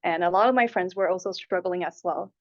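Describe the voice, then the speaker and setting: Young woman with a lowish voice, muffled recording. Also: a little fast. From Taipei and Hong Kong. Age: 20s. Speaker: interviewee, applicant. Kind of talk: answering interview questions. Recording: dull, lo-fi audio.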